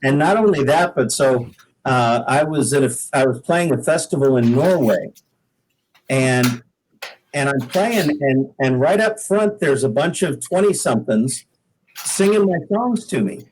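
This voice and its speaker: A man's voice: mid-range voice, expressive, unhurried, a little mellow, slight background noise, rough recording. An American man from the Pacific Northwest, a singer-songwriter being interviewed, tells stories in a casual, folksy, modest way. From Pacific Northwest, United States. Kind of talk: casual conversational storytelling.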